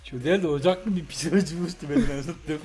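A man's voice: fairly high pitch, racing, a little mellow, faint music behind. A man chatting casually as co-player in a horror game, slangy, joking and teasing. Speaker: co-player on a gaming video.

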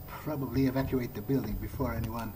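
A man's voice: mid-range voice, steady tone, quick pace, music in the background. A man doing in-character game commentary, musing aloud in a hesitant way. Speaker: gamer roleplaying a character.

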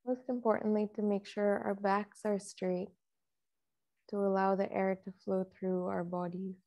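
A woman meditation teacher speaks very slowly and calmly, leaving long pauses between short instructions. Her delivery is even, with little movement in pitch and a noticeable creak in the voice. She guides softly and in a measured way. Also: highs cut off.

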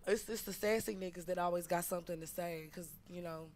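A woman's voice: fairly low pitch, steady tone, a little fast, darkish tone. Combative young woman on a dating show. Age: early 20s. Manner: combative, profane, sassy. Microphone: handheld mic.

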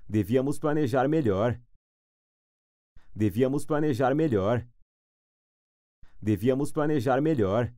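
Slow, deliberate man. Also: medium pitch, dark tone, no echo, studio quality. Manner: repeats each phrase three times, clearly and deliberately. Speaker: language teacher. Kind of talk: slow phrase repetition for learners.

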